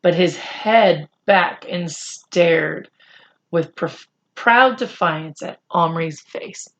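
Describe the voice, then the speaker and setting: A woman reading a children's chapter book aloud in a deliberate, expressive storytelling style. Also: on the low side. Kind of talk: read-aloud storytelling narration.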